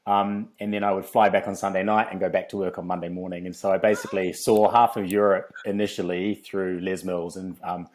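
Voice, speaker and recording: Kiwi guy, low voice, chatty podcast guest, lots of ums, laughs easy. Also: little pitch movement, fairly quick, hardly any pauses, darkish tone. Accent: New Zealand. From New Zealand. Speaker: podcast guest, fitness company founder. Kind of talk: relaxed podcast interview.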